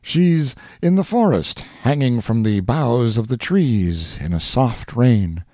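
A backwoods hunter speaks in grand, flowery phrases, read out by a man in a raised voice. The lines rise and fall with wide swings, but a country drawl slips through. The tone stays soft and dark, close and dry. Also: mid-range voice, expressive, slow, no room sound, pitched above the performer's own voice. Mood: lofty. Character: grand-talking frontier woodsman, man, young adult.

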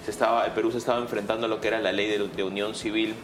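Clear background noise surrounds a Peruvian man with a somewhat low voice. He answers as an interview guest in a conversational way. He pauses to find words and often closes a thought with a short tag question. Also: flat delivery. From Peru. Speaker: guest, political candidate.

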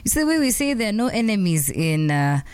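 Chatty co-host woman with a lively voice. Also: mid-range voice, fast talker, smooth, dry recording. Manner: chatty, mixes in Swahili phrases. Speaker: radio co-host. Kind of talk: casual talk-show chat.

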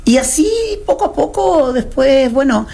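A woman's voice: sits high, lively intonation, unhurried, creaky, mellow. A Chilean woman with a Chilean accent, a gallery owner chatting as a radio guest, with some hesitations. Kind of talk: interview conversation.

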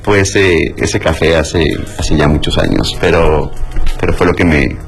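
A middle-aged Mexican man is a guest on a radio interview. His voice is very deep, and he halts and restarts his sentences as he looks for words. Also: creaky, faint music behind. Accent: Mexican Spanish. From Mexico City. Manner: hesitant, halting with many restarts.

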